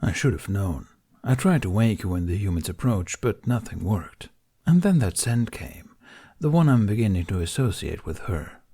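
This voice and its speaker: A man's voice: on the low side, lively intonation, normal speed, mellow, breathy edge. A man voicing a proud, protective, dryly sarcastic dragon in an audiobook, speaking in blunt, formal grumbles. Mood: decided, grumbling. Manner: grumbling, declarative, formal phrasing.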